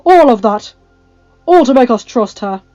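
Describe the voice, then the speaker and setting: A woman's voice: fairly high pitch, expressive, unhurried, no echo, lo-fi sound, soft background music. A woman voicing a fierce, loyal male commander in a storybook, stern and suspicious. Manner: stern, forceful.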